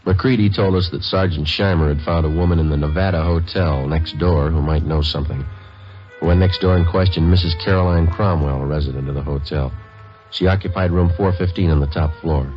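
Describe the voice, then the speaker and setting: A man playing a terse, deadpan police sergeant in an old radio crime drama. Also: rumbling low, fairly quick. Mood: matter-of-fact. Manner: deadpan, clipped questions. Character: terse, businesslike male police detective sergeant. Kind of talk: 1950s radio drama acting, narration and dialogue. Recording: dull old radio recording, faint music.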